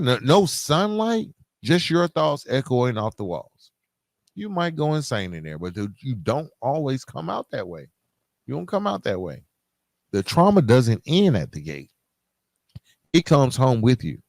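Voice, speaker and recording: A man's voice: medium pitch, dry recording, studio quality. A man hosting a podcast, speaking candidly and emphatically straight to listeners in colloquial, streetwise phrasing, like a motivational monologue. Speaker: podcast host.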